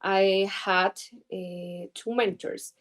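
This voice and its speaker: A young woman from Ecuador speaks English slowly, with an Ecuadorian Spanish accent. She is a native Spanish speaker and hesitates often, filling pauses with "uh" and "um" as she hunts for the right word. She answers questions as an interview guest. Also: mid-range voice, fairly even intonation. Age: 20s. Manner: halting, searching for English words with many fillers. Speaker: guest trader. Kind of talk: interview answers over video call.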